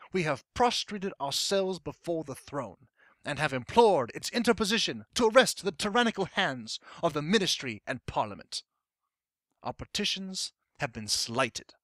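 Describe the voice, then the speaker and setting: A man's voice: on the high side, sharp tone, dry recording, studio quality, full character voice. A man playing an impassioned colonial-era statesman, delivering a formal, emphatic dramatic monologue as a speech to an assembly. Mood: impassioned, grave.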